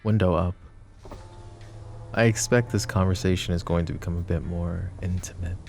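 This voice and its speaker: A man's voice: low-pitched, steady tone, normal speed, a little mellow, soft background music. A man voicing a suave, possessive mafia boss who flirts with the listener in a polished, courteous way that carries an undertone of menace. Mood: silky flirtatious. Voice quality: smooth, courteous, teasing menace. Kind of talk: roleplay audio drama, addressing the listener.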